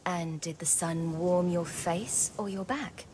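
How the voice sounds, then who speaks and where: An actress voicing a calm, protective young swordswoman in a fantasy game, gentle but firm. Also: lowish voice, takes it slowly, a little hiss or hum. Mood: gentle, probing. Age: young adult.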